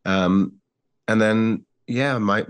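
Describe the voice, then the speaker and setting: A man in his forties from England with an English accent, recalling his family in a thoughtful, measured way on a video call. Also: lowish voice, fairly even intonation, clear, resonant tone. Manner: thoughtful, measured, with frequent restarts. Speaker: guest. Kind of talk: reflective interview talk. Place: plain-walled home room. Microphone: earbuds on a video call.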